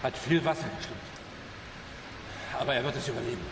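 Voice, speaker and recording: Actor as distant, echoing sober police inspector. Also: male voice, medium pitch, a little fast, creaky, natural voice. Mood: grave. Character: sober male police inspector reporting a rescue. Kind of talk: German radio drama. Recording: distant, echoing hall, background noise.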